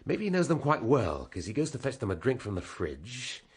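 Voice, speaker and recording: An actor playing a brooding, cultured middle-aged English police inspector in a radio drama, wry and musing in manner, with a husky voice. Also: male voice, medium pitch, very animated, normal speed, exaggerated voice. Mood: brisk, reflective. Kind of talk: naturalistic radio drama acting.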